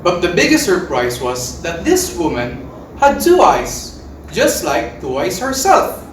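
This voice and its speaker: Female readers theater narrator: lively, swooping pitch, recorded at a distance. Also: a little slow. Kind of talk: scripted storytelling, readers theater. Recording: echoey room, distant mic, faint noise.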